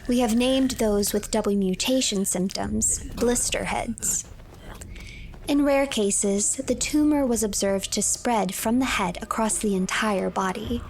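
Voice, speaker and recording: Woman narrating a game, noisy background. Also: medium pitch. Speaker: streamer playing and narrating a story game. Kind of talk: reading in-game files and narrating the story.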